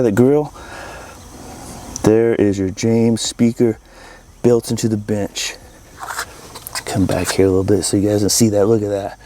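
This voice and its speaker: A man's voice: medium pitch, warm tone. A man giving a casual, enthusiastic video walkthrough outdoors, talking informally to viewers as an installer showing off a project. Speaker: audio-video installer presenting a project tour. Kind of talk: casual walkthrough vlog to camera. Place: outdoors in a backyard by a pool.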